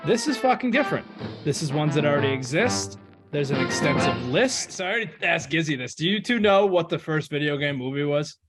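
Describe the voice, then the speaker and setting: A man's voice: a little high, animated, a little fast, over music. A man hosting a casual, sweary, irreverent podcast chat. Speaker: podcast host.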